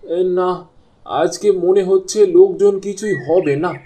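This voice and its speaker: A man's voice: high voice, unhurried, mellow, slight background noise. A man playing a humble, philosophical village boatman in a cartoon story, speaking in a folksy, deferential way. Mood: humble, plain. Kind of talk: Bengali animated moral story dialogue.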